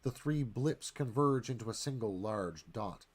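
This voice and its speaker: A man narrates a screenplay for radio theatre. He is highly animated, with a medium pitch and clipped, dramatic phrasing. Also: unhurried.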